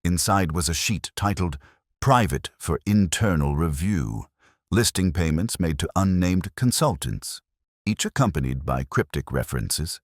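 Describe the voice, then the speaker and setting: A man's voice: a bass voice, no echo, studio quality. A man narrating a scripted detective mystery as a storyteller.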